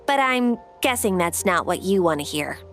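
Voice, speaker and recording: A woman's voice: mid-range voice, animated, moderate pace, a bit bright, faint music behind. A woman voicing a careful engineer in a video game who explains things precisely. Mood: explaining.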